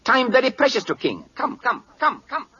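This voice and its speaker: A man's voice: very high-pitched, very animated, normal speed, pushed and bright, a little hiss or hum, full character voice. An actor playing an imperious, impatient King of Siam in an old radio drama, with a Siamese accent and broken English, barking repeated questions and commands. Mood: pleased, commanding. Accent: put-on Siamese. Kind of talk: 1940s radio drama acting. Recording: dull lo-fi old radio recording.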